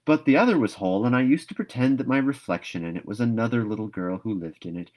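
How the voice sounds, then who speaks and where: A man's voice: medium pitch, expressive, fast talker, darkish tone, lightly acted. A man voicing a dreamy, chattering young orphan girl in a storybook read-aloud, gushing and wistful. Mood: dreamy, eager.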